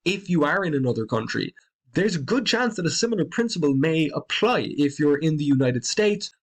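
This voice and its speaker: A man with an Irish accent calmly explaining the night sky in a conversational, educational voice-over. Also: on the high side, a little fast. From Ireland. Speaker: astronomy presenter.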